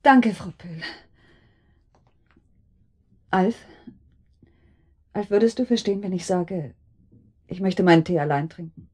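An actress playing an anxious, shaken wife and mother in a radio drama, breathy and husky, halting and repeating her words with intensity. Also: on the low side, dark tone. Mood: quiet, fearful.

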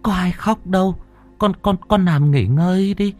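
A man's voice: high-pitched, normal speed, darkish tone, soft background music, pitched above the performer's own voice, close to an everyday voice. A man voicing an old grandmother who soothes her grandson with a stammering, choked voice. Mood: choked, soothing. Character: old grandmother, holding back tears.

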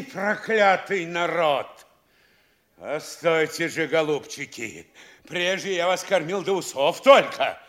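An actor playing a boastful middle-aged provincial mayor in a classic Russian stage comedy for audio, blustering and exclamatory, swinging from glee to threats. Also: male voice, a little high, bright, forceful tone, full character voice.

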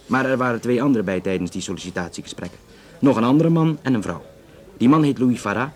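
An actor playing a courteous, flirtatious Flemish police detective in a naturalistic radio drama, speaking hesitantly with dry humour. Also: male voice, medium pitch, expressive, fast, a little mellow, faint music behind, natural voice. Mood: matter-of-fact, grim. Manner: hesitant, dryly joking, conversational.

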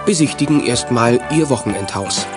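A man's voice: mid-range voice, moderate pace, loud music behind the voice, lightly acted. A man narrating a children's adventure radio play as a scripted storyteller. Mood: composed, descriptive.

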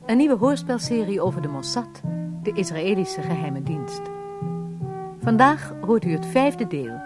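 A woman's voice: medium pitch, big pitch swings, a little slow. A woman announcing a radio drama series in a scripted style. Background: loud brass music.

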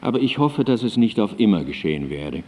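An actor playing a sober, reserved eighteenth-century German philosopher, reading letters aloud with deliberate restraint in a radio play. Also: male voice, on the low side, very animated, a little mellow, long reverb tail. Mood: quietly displeased.

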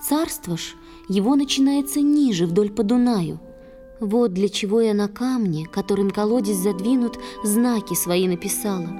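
Woman acting a mellow, sorrowful young water spirit. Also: mid-range voice, normal speed, soft background music, close to an everyday voice. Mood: tender, earnest. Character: gentle sorrowful young water-spirit wife, loving and pleading. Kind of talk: poetic audio play for children.

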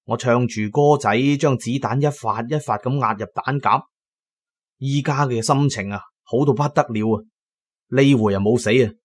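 A man narrating an adventure audio drama in a colloquial, expressive first-person style. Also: mid-range voice, normal speed, slightly rough. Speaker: storyteller, narrator.

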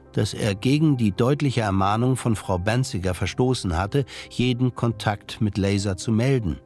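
Low-voiced male narrator over music. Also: darkish tone. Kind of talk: scripted literary narration for audio drama.

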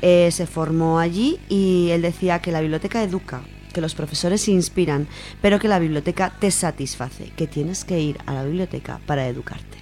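A woman's voice: lowish voice, normal speed, mellow, soft background music. A woman from Spain presenting on radio, giving an informal, semi-prepared account in an explanatory way, restating points as she goes.

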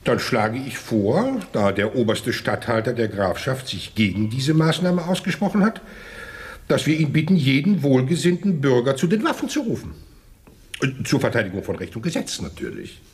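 A man's voice: medium pitch, sing-song, moderate pace, close to an everyday voice. An actor playing an officious committee chairman in a radio drama, formal and oratorical. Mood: official persuasion.

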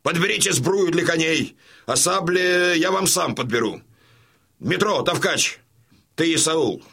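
Husky, commanding old Cossack colonel. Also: male voice, mid-range voice, pushed and bright, exaggerated voice. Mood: fiery, impatient. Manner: bluff, imperious, emphatic. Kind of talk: Russian audio drama acting.

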